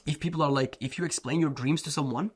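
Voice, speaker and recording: A man's voice: a little high, fast talker, husky. A man whose first language is Turkish, a self-improvement coach giving a blunt, slangy motivational talk, often saying "bro" and "like". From Turkey.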